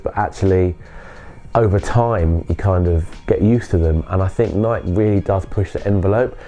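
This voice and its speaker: British male reviewer, deep and bunged-up, casual delivery over background music. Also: mellow. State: congested with a cold. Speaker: sneaker reviewer. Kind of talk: casual product review to camera.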